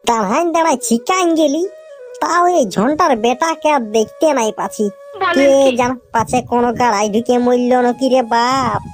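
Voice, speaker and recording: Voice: medium pitch, normal speed, faint music behind, close to an everyday voice. A performer voicing a bossy, persuasive village friend in a comic cartoon, nagging and boasting in a rustic Purulia accent. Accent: Purulia, West Bengal.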